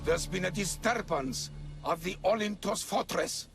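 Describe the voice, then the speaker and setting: A man's voice: sits high, a little slow, gravelly, fairly bright tone, soft background music, exaggerated voice. An actor playing a calculating, menacing male cult recruiter giving formal orders to followers in a video game. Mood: commanding. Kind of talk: video game villain acting.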